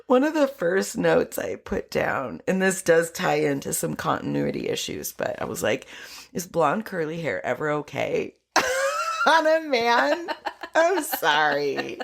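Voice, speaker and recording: A woman podcast host with a slightly low voice and very animated pitch. She takes her time as she chats casually about films, informal and jokey in her manner. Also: unhurried, gravelly. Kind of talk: casual film review chat.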